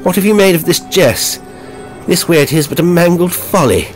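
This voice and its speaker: Agitated, fearful period nobleman played by a male narrator, raised pitch, exclamatory, horror audiobook. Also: expressive, normal speed, faint music behind. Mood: urgent questioning. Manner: exclamatory, archaic speech.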